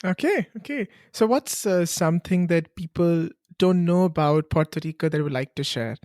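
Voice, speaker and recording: A woman's voice: on the low side, a little mellow, dry recording, clean studio sound. A woman from Punjab, hosting a casual interview and keeping it going with short encouraging replies and laughs. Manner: encouraging, quick to laugh and give short backchannels. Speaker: podcast host.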